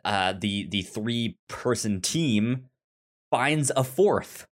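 A man co-hosting a casual film podcast, speaking haltingly and often stuttering over repeated words. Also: medium pitch, lively intonation. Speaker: film review podcast co-host. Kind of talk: casual chat.

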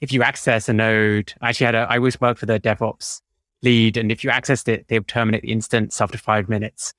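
A man's voice: fairly low pitch, level tone, fast, fairly bright tone, dry recording, clean studio sound. A man with a British accent, explaining technical work informally as a podcast guest. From United Kingdom. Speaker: podcast guest, DevOps engineer.

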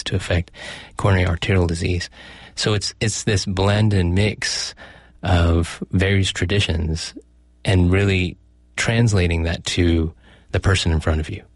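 Low-voiced middle-aged man, physician guest, measured explainer, dry booth sound. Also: no echo. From United States. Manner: thoughtful, explanatory, with fillers and self-corrections. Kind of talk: conversational interview.

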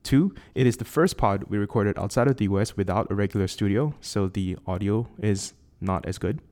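A man with a Singaporean accent hosting a tech podcast, reading a measured, articulate prepared introduction. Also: a little mellow. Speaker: podcast host. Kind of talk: scripted intro then casual interview. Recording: lower quality recording outside a studio.

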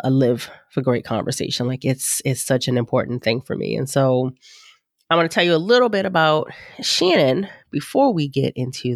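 A woman's voice: low voice, no room sound, studio quality. A woman hosting her podcast, sounding nasal and congested from a sinus infection, chatting in a loose, rambling, folksy way and calling her listeners y'all. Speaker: podcast host. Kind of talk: casual podcast intro chat.

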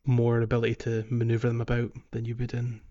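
A man talks casually as a podcast co-host. His voice sits at an average pitch, and he hesitates as he searches for words. The recording is a little dull and lo-fi. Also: monotone, fast, dark tone. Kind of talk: casual video game discussion.

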